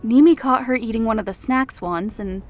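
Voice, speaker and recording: A woman playing a wry, affectionate elf in an audio drama, speaking in a measured, dryly teasing way. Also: a little high, dry recording, soft background music, natural voice. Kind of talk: audio drama character acting.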